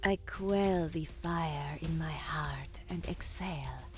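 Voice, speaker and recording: Woman playing a slow, hushed alien commander. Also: low-pitched. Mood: hushed, tense focus. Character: grim, focused female alien commander and sharpshooter. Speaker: narrator and lead character. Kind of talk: first-person audio drama narration. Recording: music under the voice.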